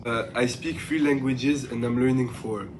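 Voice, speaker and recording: A man's voice: medium pitch, fairly even intonation, moderate pace. A teenage boy from France giving plain, brief answers with some hesitation. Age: teens. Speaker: student answering interview questions. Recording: noisy background.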